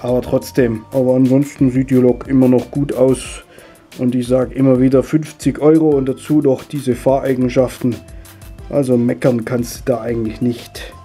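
A middle-aged man, stuffy and husky from a cold, chatting his way step by step through a hands-on hobby tutorial. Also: mid-range voice, little pitch movement, moderate pace, warm tone, soft background music. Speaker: model railway hobby video host.

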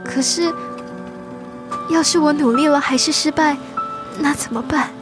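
A woman's voice: sits high, fairly even intonation, unhurried. A woman acting an earnest, emotional teenage immigrant girl in a reflective radio drama. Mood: distressed. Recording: music behind the voice.

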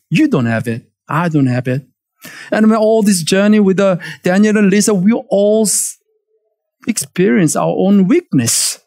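A man in his forties with a Korean accent, preaching a lively sermon from a church stage and calling for amens. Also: high-pitched. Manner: animated, emphatic preaching with call-and-response. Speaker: pastor.

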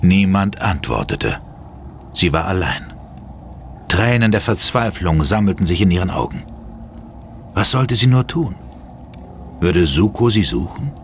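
A man's voice: sits low, very animated, unhurried, long pauses, a little hiss or hum. A man giving dramatic scripted narration for a German horror audio drama. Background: music.

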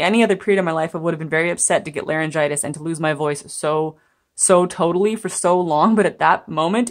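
A young woman giving a chatty, meandering tarot reading to viewers, laughing now and then as she talks. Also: fairly low pitch. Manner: chatty, meandering, gentle, laughs while talking. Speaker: tarot reader. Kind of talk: pick-a-card tarot reading. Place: indoors at a table.

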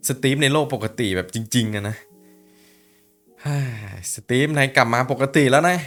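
A man hosting a reaction video, talking casually with excitable outbursts and repeated words. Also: mid-range voice, moderate pace, faint music behind.

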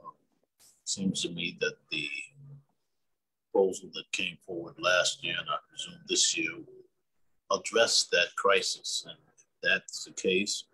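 Very deep husky-voiced man. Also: fairly even intonation, measured pace, pauses often, bright, forceful tone, poor audio. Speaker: city councilmember. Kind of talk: brief remarks at an online public meeting.

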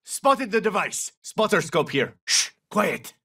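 An actor playing a battle-hardened special forces soldier in a video game, giving clipped, terse military callouts. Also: male voice, high-pitched, sing-song, slow pace, sharp tone, slightly rough, far from the mic, heavily acted. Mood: low, alert. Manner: clipped, terse, urgent radio-style callouts.

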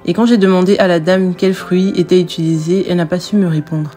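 A young woman reading a steady voice-over for her food review. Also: lowish voice, fairly quick, faint music behind. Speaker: vlogger.

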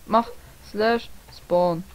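A woman's voice: medium pitch, drawn-out words, low-quality recording. A young woman chatting casually while playing a game with friends, teasing them, laughing often and swearing lightly. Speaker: Let's Play gamer. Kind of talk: casual gaming chat.

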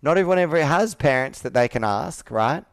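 A man in his thirties speaks in a smooth, resonant voice with a dry, close sound. He talks like a coach on a sales call, earnest and persuasive. He leans on personal stories and rhetorical questions to make his point. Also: fairly high pitch, lively intonation, fairly quick, dry recording. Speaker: business coach. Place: indoor room with whiteboard, video call.

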